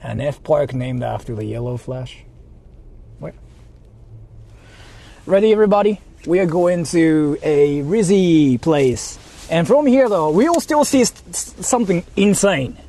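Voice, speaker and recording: A man's voice: fairly high pitch, expressive, measured pace, gravelly, dark tone. A man with a Japanese accent, a native Japanese speaker, chatting casually and slangily on a livestream and often repeating himself. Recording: faint vehicle noise on live stream.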